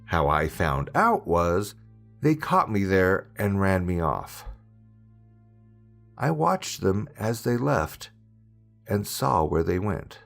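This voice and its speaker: A man reading a scary story as scripted narration, in a dramatic storytelling manner with deliberate pauses. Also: deep voice, sing-song, vocal fry, a bit bright. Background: background music.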